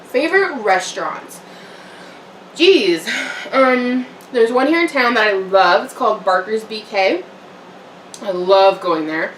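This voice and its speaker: A woman's voice: medium pitch, expressive, takes it slowly, long pauses, slight background noise. Chatty young woman in an echoey room. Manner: rambling, chatty, with fillers and soft laughs. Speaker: vlogger. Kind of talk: casual eating-show chat. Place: home room, eating on camera. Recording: echoey room, mic a bit far off.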